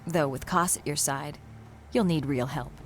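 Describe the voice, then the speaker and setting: Deep-voiced, wry young warrior woman. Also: normal speed, faint music behind. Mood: wry, suspicious. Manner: wry, dry, pointed. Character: sharp-tongued, suspicious young female warrior. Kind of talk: scripted fantasy game acting.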